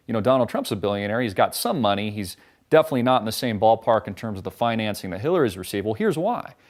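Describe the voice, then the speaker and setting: A man hosting a show, delivering a sarcastic, profane, mocking rant as a monologue. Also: medium pitch. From United States. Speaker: show host. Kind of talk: ranting political monologue.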